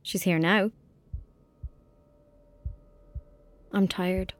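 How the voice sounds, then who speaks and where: A woman's voice: medium pitch, steady tone, a little slow, lightly acted. A woman with an Irish accent playing a lonely, imaginative young country girl in a naturalistic audio drama, youthful and colloquial. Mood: weary, wary. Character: lonely, anxious young girl in rural Ireland, sullen and imaginative.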